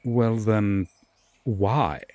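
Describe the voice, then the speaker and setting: Man playing a slow, brooding Fae lord. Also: fairly low pitch, mellow, no echo. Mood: grave, guarded. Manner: formal, hesitant, dramatic pauses. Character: brooding, courteous male Fae lord, guarded, reluctantly kind. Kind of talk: roleplay audio drama monologue.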